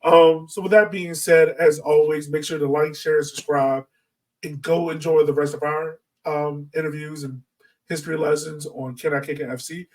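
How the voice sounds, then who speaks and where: A man's voice: a little high, little pitch movement, normal speed, distant mic, poor audio. An American man hosting a podcast in a casual, informal way, speaking directly to his listeners from a little way off the mic. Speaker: podcast host.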